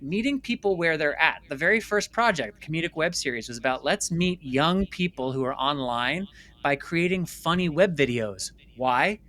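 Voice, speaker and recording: A man with a bright, forward voice that sits a little high. He talks as an interview guest, telling his story conversationally. His delivery is emphatic, with phrases repeated to drive points home. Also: expressive, moderate pace. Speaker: interview guest, filmmaker and former stage actor.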